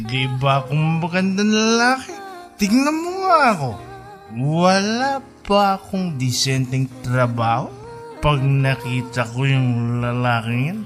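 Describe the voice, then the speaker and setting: Radio drama role: lovelorn young man, melodramatic, slow, very animated pitch, music bed. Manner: melodramatic, breaks into bitter laughs. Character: lovelorn young man, jilted, drunk, joking through heartbreak. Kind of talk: Filipino radio drama acting.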